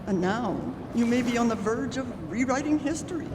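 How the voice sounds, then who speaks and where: A woman's voice: on the high side, animated, normal speed, close to an everyday voice. A woman playing a genteel, sympathetic lady in a radio drama, speaking politely and articulately. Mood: admiring, teasing. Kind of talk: stage radio drama acting. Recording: reverberant hall with clear background noise.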